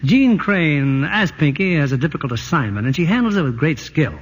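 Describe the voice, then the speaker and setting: A man announcing in a smooth, formal scripted style on an old radio broadcast. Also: a little high, very animated, brisk, muffled recording.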